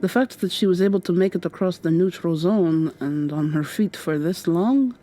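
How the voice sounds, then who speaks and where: A woman's voice: fairly low pitch, normal speed, warm tone, natural voice. A woman playing an anxious, caring medic in an ASMR roleplay, speaking in a hesitant, earnest way. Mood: concerned, earnest. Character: anxious, gentle, caring medic helping a captive escape.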